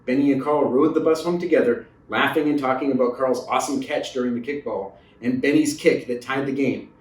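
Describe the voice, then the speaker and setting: A man's voice: mid-range voice, fairly even intonation, fairly quick. Man reading a children's story, echoey and distant. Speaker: storybook reader. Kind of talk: read-aloud children's story narration. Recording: roomy echo, distant mic.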